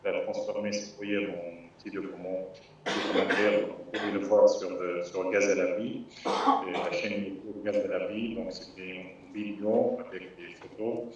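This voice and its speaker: A man's voice: low-pitched, little pitch movement. Palestinian man speaking over an echoey call. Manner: halting, many restarts and fillers, earnest. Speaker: guest speaker on a live call. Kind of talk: informal live talk. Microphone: remote video call. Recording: distant, echoey, dull lo-fi call audio with faint noise.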